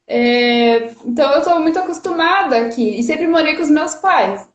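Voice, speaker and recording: A woman's voice: high-pitched, expressive, normal speed. A woman in her thirties who grew up in Brazil and speaks with a Paraná accent, chatting casually and a little nervously on a livestream, with lots of fillers and laughs. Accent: Paraná Brazilian Portuguese. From Rio de Janeiro, Brazil. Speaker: guest. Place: her parents' house, indoors. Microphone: phone on a charger. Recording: muffled, roomy livestream audio.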